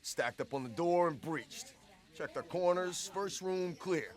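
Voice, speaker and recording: An actor playing a cocky soldier who tells war stories casually in clipped, wry military slang for a video game. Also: male voice, a little high, lively intonation, unhurried. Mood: tense recounting. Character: cocky male soldier swapping war stories with his squadmate. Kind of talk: casual in-character storytelling.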